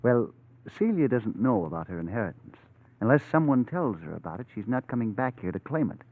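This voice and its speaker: An actor playing a cool, scheming blackmailer with a slick, insinuating manner in 1940s radio drama style. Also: male voice, medium pitch, big pitch swings, fairly quick. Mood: sly, calculating. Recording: tinny old radio recording.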